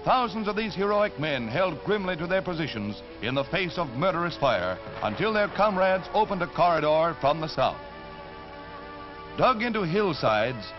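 A dull, old newsreel soundtrack with clear music behind the voice. An American man narrates in a high-set, formal announcer voice. His dramatic delivery swings widely in pitch in true 1940s style. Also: big pitch swings, moderate pace.

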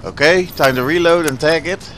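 A Dutch man with a Dutch accent, a native Dutch speaker, giving relaxed, chatty commentary over gameplay and sometimes searching for words. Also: fairly high pitch, moderate pace, slight background noise. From Netherlands. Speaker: gaming channel host.

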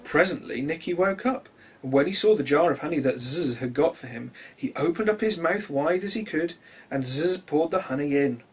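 Animated, high-pitched man telling children's stories. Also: normal speed, slightly rough. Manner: animated, simple and gentle address to young listeners. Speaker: children's storyteller and host. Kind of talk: expressive read-aloud children's storytelling.

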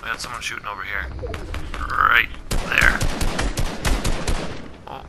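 A man commentating casually over gameplay as a channel host, animated and laughing, clearing his throat as he loses his voice. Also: lowish voice, slow pace. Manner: animated, laughing, excited shouts. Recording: game gunfire noise behind.

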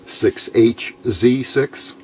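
A man giving an informal, unscripted hands-on tutorial in a plain-spoken, halting way, recorded indoors at a workbench on a camera mic. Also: mid-range voice, darkish tone, slight background noise. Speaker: host of a hands-on electronics tutorial.

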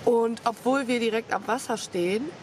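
A woman's voice: on the high side, moderate pace, noisy background. Young woman vlogging outdoors, a bit sniffly. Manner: enthusiastic, casual slang. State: has a slight cold. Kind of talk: casual travel vlog chat. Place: outdoors on the coast.

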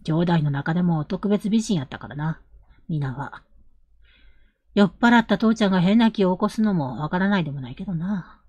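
A woman playing an earnest, protective young man, a rough-spoken elder brother, in a Japanese period audio drama. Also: lowish voice, expressive, no echo, dull audio. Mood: bitter, resigned. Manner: earnest, measured, gently insistent.

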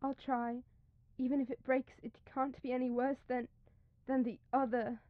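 A woman's voice: high-pitched, level tone, normal speed, dry recording, close to an everyday voice. A woman playing a frightened but brave captive young maiden in old-fashioned radio drama style, muffled. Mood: desperate, brave. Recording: dull, muffled lo-fi sound.

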